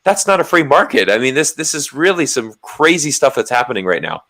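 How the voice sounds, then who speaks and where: A man's voice: medium pitch, very animated, a little fast, a bit bright. A man from Florida with an American accent, chatting casually as a livestream co-host, emphatic and repeating words for stress. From Florida, United States. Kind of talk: casual livestream political chat.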